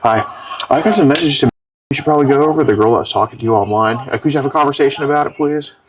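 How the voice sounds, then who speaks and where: A man with a mid-pitched voice does a casual on-location interview indoors. He speaks informally, like chatting with a buddy, and the recording is clearly noisy with some clicks. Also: racing. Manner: informal, chummy address with frequent "man". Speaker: confrontational video interviewer. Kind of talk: casual conversational questioning. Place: inside a home, on location.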